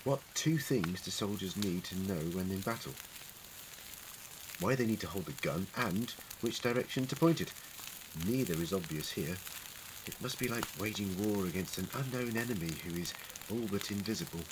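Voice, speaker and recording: A man playing an eccentric, dignified time-traveller in an audio drama, speaking in a wry, formal, wordy way with gentle authority. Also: deep voice, moderate pace, natural voice. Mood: sombre, reflective. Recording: prominent crackling ambience noise.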